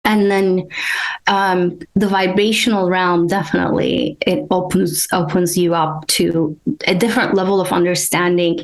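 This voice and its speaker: Hesitant, thoughtful low-voiced woman from Turkey. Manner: hesitant and thoughtful, many pauses and ums. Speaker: guest, energy healer. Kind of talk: casual interview talk.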